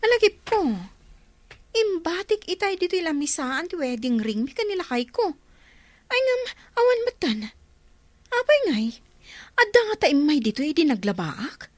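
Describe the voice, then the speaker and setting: A woman's voice: very high voice, big pitch swings, normal speed, dry recording. A woman playing a distressed, anxious housewife in a comedy drama, speaking in a plaintive, exclaiming way. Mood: alarmed.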